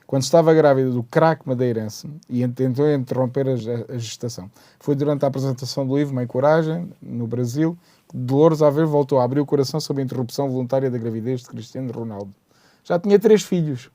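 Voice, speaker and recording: A man with a mellow, darker voice and a mid pitch moderates a studio panel. He speaks in a measured way and chimes in with steady agreement. The sound is close and dry. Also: no room sound. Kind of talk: studio discussion, reading aloud.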